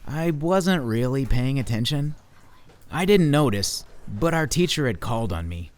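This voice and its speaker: A man plays an awkward, self-deprecating young bean telling his own story in a children's picture book. His medium-pitched voice swings expressively and takes its time, sounding earnest, wistful and confiding. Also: lightly acted. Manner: expressive, unhurried, confiding first-person storytelling.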